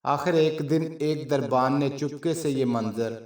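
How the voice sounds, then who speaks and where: A man narrating a scripted story in a measured, formal storytelling manner. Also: medium pitch, darkish tone. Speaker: channel narrator. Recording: roomy echo, distant from mic.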